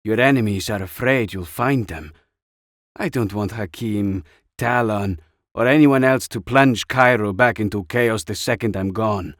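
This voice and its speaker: A man's voice: fairly low pitch, unhurried, no room sound, studio quality, natural voice. A man voicing an older woman, a wry and protective veteran sniper, for a storybook narration. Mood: resolute.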